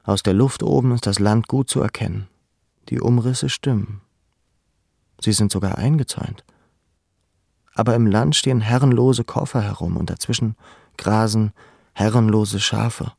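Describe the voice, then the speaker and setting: Radio drama narrator: melancholy young deportee, low-voiced with fry, measured literary delivery. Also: male voice, darkish tone, no room sound, studio quality. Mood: somber, dreamlike. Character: young deported male labour camp prisoner, melancholy, watchful.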